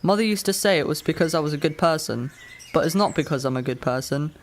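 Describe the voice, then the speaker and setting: A man's voice: on the high side, lively intonation, fast, slight background noise. A man playing a precise, literal-minded fifteen-year-old boy in a radio drama. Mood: matter-of-fact. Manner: matter-of-fact, precise, literal. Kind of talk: radio drama narration and dialogue.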